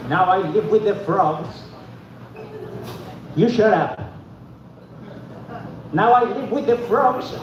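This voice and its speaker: A man from Argentina, doing a deadpan, self-deprecating open-mic stand-up set and talking back to the audience. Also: sits high. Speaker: stand-up comedian. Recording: echoey room from far off, dull, noisy.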